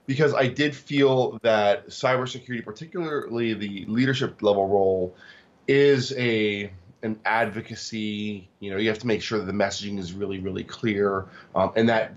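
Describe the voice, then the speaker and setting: Male guest, forties, lowish voice, stop-start delivery, dull lo-fi recording. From Tacoma, Washington, US. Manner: halting, many fillers and restarts. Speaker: guest, cybersecurity executive. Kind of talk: interview conversation.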